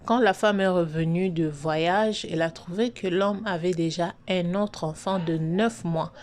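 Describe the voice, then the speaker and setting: A woman's voice: on the low side, unhurried, darkish tone. A woman hosting a story channel, telling a story casually with many fillers and restarts. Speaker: online storyteller host. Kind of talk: casual spoken storytelling to subscribers.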